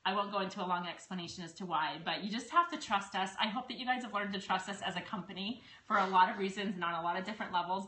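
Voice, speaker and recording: A woman's voice: mid-range voice, sharp tone. A woman hosting a casual live product stream, chatty and bubbly, answering viewer questions. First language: English. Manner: bubbly, chatty, quick to laugh. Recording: echoey room, faint noise.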